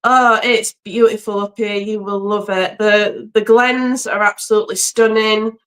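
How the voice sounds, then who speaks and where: A woman from England, a guest on a live stream, chatting warmly and laughing often over a video call from home. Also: medium pitch, little pitch movement, unhurried. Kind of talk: casual live-stream chat. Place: home by the sea.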